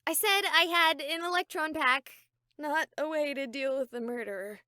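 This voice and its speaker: A woman's voice: sits high, moderate pace, pushed and bright, no echo, studio quality. A woman voicing a sarcastic, exasperated young female streamer in a horror video game, wisecracking and dramatic.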